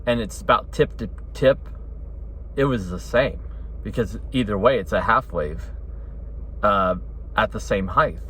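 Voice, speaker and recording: A man's voice: medium pitch, a bit bright, slight background noise. A middle-aged man giving a casual, rambling opinion talk as a hobbyist, correcting himself and checking in with "right?". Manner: rambling, self-correcting, often adds "right?" and "you know". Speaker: hobbyist radio vlogger.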